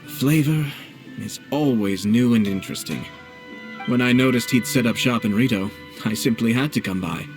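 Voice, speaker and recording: An actor playing a refined young noble commissioner in a video game, calm and playfully curious, with a polished, formal, measured way of talking. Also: male voice, mid-range voice, over music, lightly acted. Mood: pleasantly curious.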